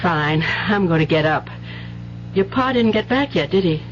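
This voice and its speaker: Actress as weary American wife, muffled radio recording. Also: female voice, lowish voice, animated, normal speed, soft background music, lightly acted. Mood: motherly, firm. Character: weary, wary working-class wife and mother. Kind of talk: old-time radio drama acting. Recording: dull, noisy 1970s radio recording with hum.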